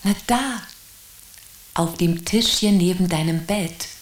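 A woman's voice: fairly low pitch, slow pace, roomy sound. A woman acting an overworked, breezy mother in a German radio play, talking in a chatty way. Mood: pleased, breezy.

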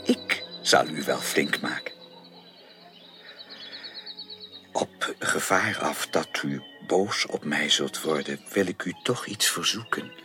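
An actor playing an earnest, caring and direct gentleman suitor in a radio drama, speaking formally and emphatically with probing, insistent questions. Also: rumbling low, expressive, measured pace, few pauses, faint music behind, heavily acted. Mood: firm, urging. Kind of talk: 1980s Dutch radio drama acting.

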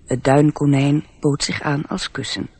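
Slow, gentle storybook narrator. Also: male voice, a little high, flat delivery, husky. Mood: calm. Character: calm male storybook narrator. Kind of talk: scripted narration in a radio drama.

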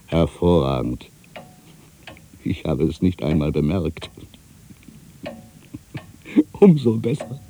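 Casting: proud, disillusioned old writer; very dark, husky voice, widely swinging intonation, theatrical monologue delivery. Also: male voice, low-pitched, very animated, drawn-out words, pauses often, slight background noise, heavily acted. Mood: sardonic. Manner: brooding, self-questioning, swinging from mutters to outbursts. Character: ageing solitary male writer, proud, wry, disillusioned. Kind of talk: literary dramatic monologue, theatrical reading.

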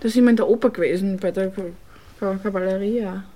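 An actress with a Viennese accent playing a naive, coy, plain-spoken young sweetheart in a radio play. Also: female voice, mid-range voice, steady tone, quick pace, warm tone, natural voice. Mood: artless, chatty. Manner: artless, colloquial, coy.

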